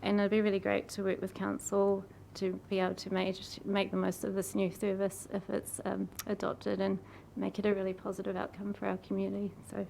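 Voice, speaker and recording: A woman with a croaky, gravelly voice reads a prepared submission to a council meeting. Her pitch stays flat and even. She speaks earnestly and apologizes for her stumbles. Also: a little fast, few pauses, slight background noise. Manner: earnest, apologetic, laughs nervously at slips. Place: council chamber.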